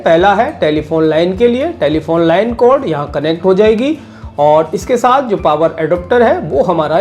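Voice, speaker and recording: Man explaining a product, soft music behind. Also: high-pitched. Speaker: product reviewer. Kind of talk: unboxing and review narration, step-by-step demonstration. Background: background music.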